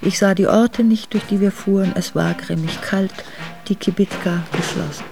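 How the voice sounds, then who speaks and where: A woman's voice: medium pitch, normal speed, vocal fry, over music, close to an everyday voice. An actress playing a noblewoman who soberly recalls a hard winter journey in a radio drama. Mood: sober, reflective. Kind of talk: radio drama memoir narration.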